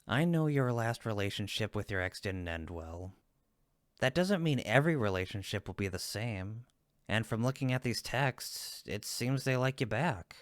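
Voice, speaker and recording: A man's voice: fairly low pitch, animated, moderate pace, no echo, studio quality, close to an everyday voice. A man playing a friendly cupid roommate in a comfort roleplay, teasing and supportive, with an emphatic, reassuring manner. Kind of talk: roleplay audio comfort acting, one-sided conversation.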